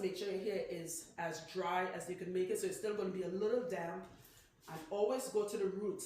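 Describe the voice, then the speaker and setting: A woman's voice: lowish voice, a little mellow. A woman with a Trinidadian accent, a loctician chatting and teasing while she demonstrates hair care in a salon. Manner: chatty, teasing, lots of "right?" and "okay?". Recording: very echoey room, faint noise.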